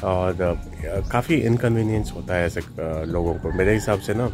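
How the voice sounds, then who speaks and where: A man in his forties talks in a relaxed, chatty way, with lots of filler sounds, as he gives travel updates into a handheld camera outdoors. His voice sits a little low. Clear music plays under him. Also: normal speed. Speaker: travel vlogger. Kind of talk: casual travel vlog updates. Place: outdoors on railway platforms and a garden. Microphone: handheld camera selfie framing.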